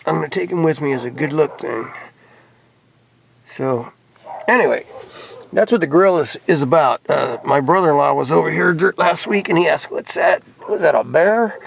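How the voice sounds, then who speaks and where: A man's voice: on the high side, animated, fairly quick, creaky. An American man giving a casual, rambling hobbyist show-and-tell in a plainspoken, folksy, laid-back way. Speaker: hobbyist vlogger showing off CB radio gear. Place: in or around a pickup truck. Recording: noisy handheld camera recording with clicks.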